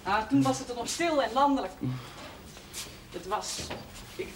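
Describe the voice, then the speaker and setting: Nursing nun: high voice, kind but teasing, gently scolding, old noisy TV drama soundtrack. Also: female voice, expressive, a little slow. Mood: chatty, reminiscing. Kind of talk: naturalistic 1970s television drama acting.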